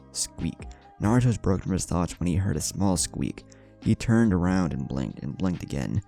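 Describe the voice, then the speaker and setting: Deep-voiced man narrating fan fiction. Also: vocal fry, dark tone. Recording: music under the voice.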